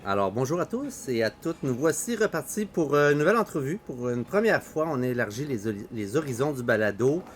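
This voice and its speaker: A middle-aged man with a Québécois accent, hosting a casual podcast conversation with a relaxed, hesitant manner and many fillers. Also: medium pitch, brisk, few pauses. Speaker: podcast host. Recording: faint background noise.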